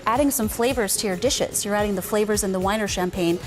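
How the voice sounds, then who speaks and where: A woman's voice: medium pitch, fast, a little mellow. A woman speaking as a guest sommelier and champagne educator, explaining and demonstrating wine on television. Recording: music laid over TV clips.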